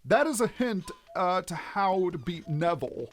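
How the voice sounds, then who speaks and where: A man's voice: sits high, sing-song, moderate pace. A man giving video game let's play commentary in a theatrical, explanatory manner as the host. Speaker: let's player, host of the series.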